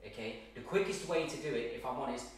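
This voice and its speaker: A man's voice: medium pitch, fast. A man in his thirties coaches viewers on meal prep, emphatic and repeating himself for effect. His voice sits mid-range and is picked up from a distance. The bare kitchen around him throws back a heavy echo. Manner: emphatic, repeats points, keeps asking okay. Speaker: fitness coach. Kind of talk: direct-to-camera fitness tips. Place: large bare home kitchen. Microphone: far camera, no visible mic. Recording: very echoey room, from far off.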